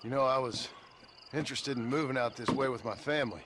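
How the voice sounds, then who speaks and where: An actor playing a laconic, courteous gunslinger in a western game, with a wry, philosophical manner. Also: male voice, medium pitch, fast talker, noisy background, natural voice. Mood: wry, friendly. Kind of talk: dramatic video game acting.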